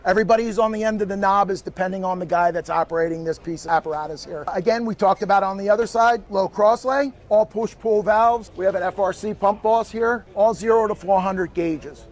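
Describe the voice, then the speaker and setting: A man's voice: high-pitched, fairly quick, few pauses. A plain-spoken man giving an unscripted, matter-of-fact technical explanation as an expert guest. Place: outdoors at a convention.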